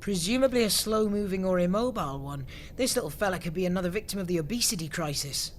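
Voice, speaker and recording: A man's voice: high voice, animated, fast, a little hiss or hum. A man playing a long-suffering, pedantic junior clerk in a comedy audio drama, dry and matter-of-fact with a sardonic edge.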